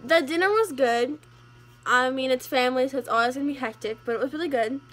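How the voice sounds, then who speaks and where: A woman's voice: high-pitched, quick pace, fairly bright tone, faint music behind. Congested teen girl vlogging at home. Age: teens. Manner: chatty, rambling with lots of "like". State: congested, sniffly. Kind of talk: casual vlog chat. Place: home rooms and bedroom. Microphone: phone held at arm's length.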